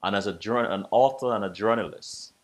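A male host with a low, deep voice, talking in a measured, unhurried way as he welcomes a guest. He sounds like he is in a small home studio, close to a desk mic. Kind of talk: interview show. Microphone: desk microphone, video call.

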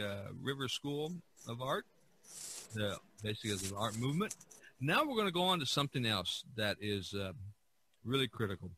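A man hosting a casual podcast discussion, talking in a halting, rambling way. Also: medium pitch, pushed and bright. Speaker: podcast host.

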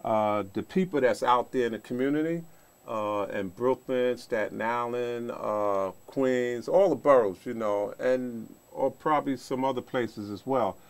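A man's voice: medium pitch, unhurried. A middle-aged man hosting an informal studio interview, talking in a rambling, repetitive way. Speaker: talk show host. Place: small indoor TV studio.

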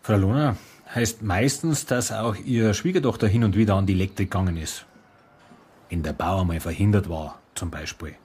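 Raspy, blunt detective. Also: male voice, low voice, normal speed, darkish tone. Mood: probing. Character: male police detective's colleague, blunt, sceptical. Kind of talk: naturalistic radio crime drama acting.

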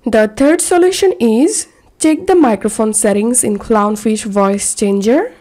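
A woman narrating a scripted software tutorial in a measured, step-by-step instructional way. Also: on the high side. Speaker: tutorial presenter. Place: voice-over for a screen recording.

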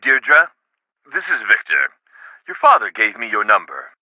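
A man's voice: fairly low pitch, huge pitch swings, a little slow, no room sound, full character voice. A courteous businessman on the phone. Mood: polite. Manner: smooth, courteous. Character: polite male businessman on the phone. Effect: phone line. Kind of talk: video game voice acting.

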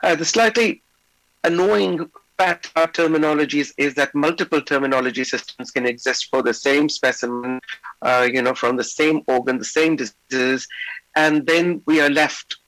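A middle-aged man, slightly hoarse, giving a conference lecture remotely from his office over a video call, with many fillers. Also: a little high, steady tone, normal speed, fairly bright tone, dry recording, poor audio. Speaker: invited lecturer. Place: indoor office.

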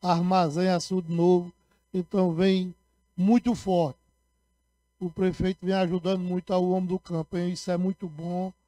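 A middle-aged man giving a council floor speech into a handheld microphone, sending repetitive shout-outs to rural communities while gesturing widely, with a sore throat. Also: high-pitched, flat delivery, moderate pace, no echo. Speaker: city councilman. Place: municipal council chamber, indoors.

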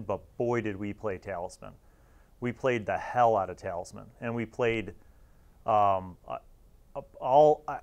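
An American man in his forties speaks in a somewhat low-pitched voice. He hosts in a casual, conversational review style, with a reflective and nostalgic way of talking. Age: 40s. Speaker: host. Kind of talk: casual review talk to camera.

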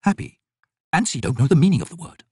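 A man's voice: a little high, lively intonation, fast talker, a little mellow, slightly rough, no room sound, clean studio sound, voice pushed up. A man voicing a blunt army healer in a fantasy audiobook, with a colloquial manner.

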